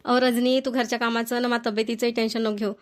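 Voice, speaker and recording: A woman's voice: fairly high pitch, little pitch movement, fast talker, close to an everyday voice. A woman voicing a kindly, reassuring older mother-in-law in a cartoon family comedy.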